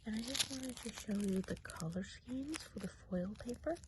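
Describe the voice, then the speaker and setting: A woman as a hushed, gentle Christmas witch. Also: on the high side, heavy background noise, lightly acted. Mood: chatty, gentle. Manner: hushed, unhurried, chatty, often trailing off. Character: kindly Christmas witch of the woods, gentle and chatty. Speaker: ASMR role-play performer. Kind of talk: soft-spoken and whispered ASMR role play.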